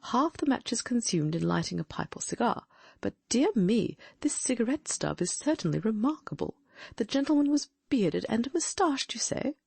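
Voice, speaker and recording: A woman performs a confident Victorian detective who thinks aloud in crisp, exact sentences. The voice moves up and down a lot, with a gravelly, slightly husky grain. The recording is very dry and close. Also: on the high side, sing-song, moderate pace, a little mellow, no echo, heavily acted. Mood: deducing. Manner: crisp, reasoning aloud, precise diction. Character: brilliant Victorian gentleman detective, male, sharp and confident. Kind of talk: audiobook dramatic reading.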